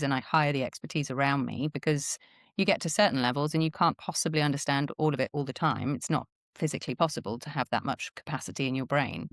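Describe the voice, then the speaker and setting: A woman hosting an online panel discussion, talking in a brisk, thoughtful, conversational way over a video call. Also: low-pitched, dry recording, studio quality. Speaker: host.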